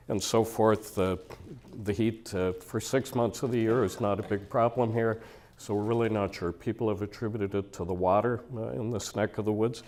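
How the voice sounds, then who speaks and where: A man in his sixties, slightly hoarse and raspy from a cold, giving a conversational, explanatory public lecture to a live audience in a lecture hall. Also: on the low side, a little mellow.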